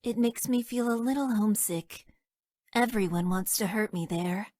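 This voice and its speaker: A woman voicing a detached, self-deprecating young female mecha pilot in a video game, with deadpan, stilted and hesitant delivery. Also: mid-range voice, moderate pace, no echo, studio quality, close to an everyday voice. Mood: deadpan, resigned. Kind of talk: video game character voice lines.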